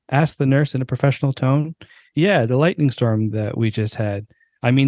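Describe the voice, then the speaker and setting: A man's voice: medium pitch, a little mellow, dry recording, lo-fi sound. A man, a podcast co-host, giving a dramatic reading of a short story and now and then tripping over words.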